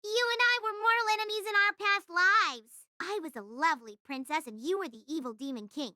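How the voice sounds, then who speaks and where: A woman's voice: very high-pitched, a little fast, pushed and bright, no room sound, clean studio sound, heavily acted. A woman voicing a prim, prickly elementary school girl who speaks in formal, haughty, blunt sentences in an acted character performance. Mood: prim, proud. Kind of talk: acted dialogue, animated-character delivery.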